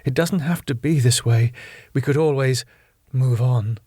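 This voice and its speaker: A man's voice: medium pitch, measured pace, mellow, husky, no room sound, clean studio sound. Man voicing a theatrical, sardonic sitcom woman. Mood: melodramatic, yearning. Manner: theatrical, dramatic delivery. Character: melodramatic, sardonic woman, tango-dancing ballroom co-owner.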